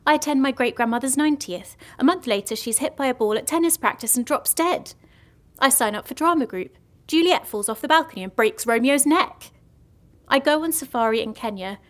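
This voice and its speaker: A woman's voice: sits high, expressive, a little fast. An actress playing a lonely, gloomy young woman in an audio drama comedy, telling morbid stories earnestly and hesitantly. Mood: bitter, mournful.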